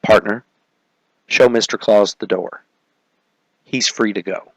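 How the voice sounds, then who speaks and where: A man playing a world-weary, deadpan police detective narrating a hardboiled crime parody, with music behind. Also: on the low side, takes it slowly, no room sound, pitched below the performer's own voice, heavily acted, poor audio. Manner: deadpan, clipped.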